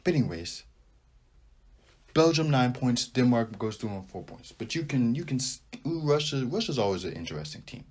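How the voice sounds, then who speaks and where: A young man hosting a sports podcast, talking casually and rambling with animated asides as he thinks out loud. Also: medium pitch, normal speed. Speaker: podcast host. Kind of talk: casual sports talk monologue.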